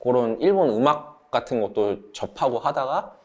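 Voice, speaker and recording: A South Korean man in his thirties chats casually with fellow channel members. His voice sits at an average pitch and moves up and down in a lively, animated way as he tells his stories. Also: moderate pace. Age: 30s. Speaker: channel member sharing study-abroad experience. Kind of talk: casual group chat, storytelling.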